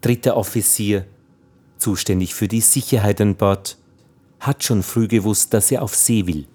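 A man's voice: on the low side, steady tone, normal speed, warm tone, slightly rough. A man reading scripted, factual narration for a radio play, in a documentary manner.

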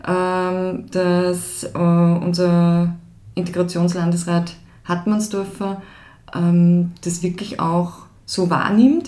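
A woman's voice: on the low side, dark tone. A woman, a project founder talking as a podcast guest, emphatic and full of hesitation fillers. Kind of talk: casual conversation. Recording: roomy echo.

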